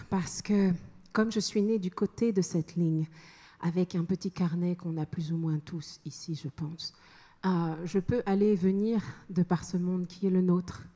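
Young adult woman singer, introduces her song in slow, soft, husky speech; handheld mic in a reverberant concert hall, faint music. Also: lowish voice. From France. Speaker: singer-songwriter. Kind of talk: spoken introduction to a song on stage. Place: large concert hall stage with audience. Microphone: handheld stage microphone. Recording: long hall reverb, live band behind.

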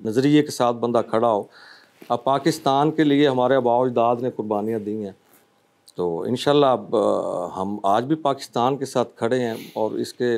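Earnest mellow-voiced politician. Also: male voice, mid-range voice, vocal fry. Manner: earnest, speechlike. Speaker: politician guest. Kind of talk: talk show answer. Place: TV studio.

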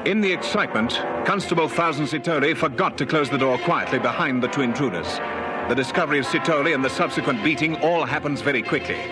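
Actor as male narrator, grave, suspenseful, old radio serial, loud music behind. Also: fairly high pitch, expressive, close to an everyday voice. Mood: urgent. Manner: deliberate, suspenseful. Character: grave, dramatic narrator of police thriller. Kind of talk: vintage radio drama narration.

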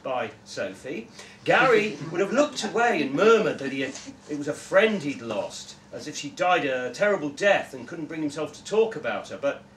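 A young man giving an expressive live reading of a short story in a small room, recorded from a few metres away. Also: fairly high pitch, moderate pace. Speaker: story reader. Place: small indoor festival room with audience. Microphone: camera a few metres away. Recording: distant, echoey room with background noise.

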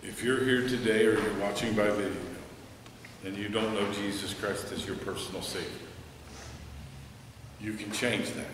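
A man's voice: fairly low pitch, steady tone, moderate pace, background noise. Middle-aged American man, pastor, emphatic sermon at a pulpit, heard from a distance with long reverb. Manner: earnest, emphatic preaching, softening to a hushed prayer. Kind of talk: sermon and altar call. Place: church sanctuary, standing at a pulpit. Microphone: headset mic. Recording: echoey church hall, from a distance.

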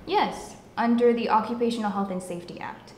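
A woman's voice: a little high, fairly quick, darkish tone, lightly acted. A young woman playing a concerned coworker urging a friend in an amateur drama. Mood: insistent. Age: teenager or young adult. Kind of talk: amateur scripted drama dialogue. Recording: roomy echo, clear background noise.

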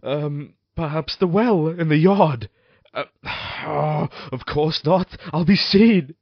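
A man's voice: on the high side, slow pace, dry recording, muffled recording. A man playing a tormented, violent-tempered husband in a Gothic horror audio drama, with brooding, theatrical, archaic delivery. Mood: frantic scheming.

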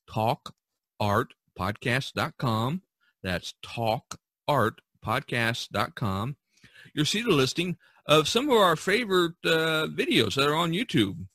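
Husky, bright-voiced man hosting. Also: medium pitch, big pitch swings, slow, dry recording, clean studio sound. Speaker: podcast host. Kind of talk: conversational podcast hosting.

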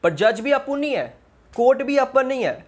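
A man's voice: extremely high pitch, sing-song, moderate pace. A man giving casual reaction commentary and explanations, mixing slangy Hindi with English phrases. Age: 20s. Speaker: music reaction video host. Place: small indoor room.

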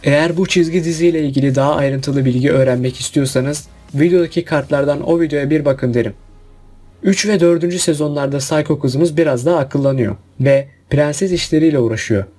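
A man's voice: on the high side, fairly quick, warm tone. A man narrating a scripted countdown review. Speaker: video narrator. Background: background music.

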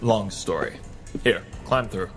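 A man's voice: fairly low pitch, animated, slow, over music. An actor playing a composed, confident masked male vigilante in an audio drama, speaking matter-of-factly. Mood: assured.

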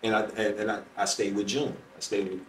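A man's voice: on the low side, flat delivery, a little fast. A middle-aged American man from Chicago, a comedian telling stories conversationally as an interview guest, replaying dialogue as he goes. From Chicago, United States. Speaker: stand-up comedian, podcast guest. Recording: roomy, distant mic, faint noise.

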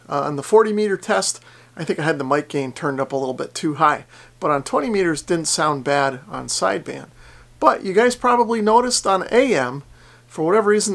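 American man, 40s, casual hobby vlogger, higher-pitched voice with some fry, modest plain explainer. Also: a bit bright. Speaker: ham radio vlogger. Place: basement radio workshop indoors.

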